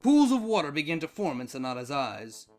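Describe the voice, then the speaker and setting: An expressive narrator reads a story from a script. The pitch sits in an ambiguous middle register and moves with lots of variety. Faint music runs behind the reading. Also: brisk. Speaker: narrator, fan fiction reader.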